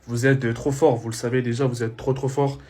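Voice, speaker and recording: Man, mid-pitched, loose repetitive reaction talk with lots of fillers. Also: flat delivery, brisk, warm tone. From DR Congo. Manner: repetitive, leans on fillers like "voilà" and "hein". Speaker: football reaction creator.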